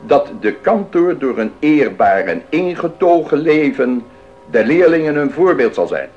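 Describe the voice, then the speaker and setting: A man's voice: a little high, sing-song, a little slow, muffled recording, soft background music. A man playing a stern, pompous, pious councillor in a radio play, with formal, emphatic delivery. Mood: stern, officious. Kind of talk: 1980s Dutch radio play acting.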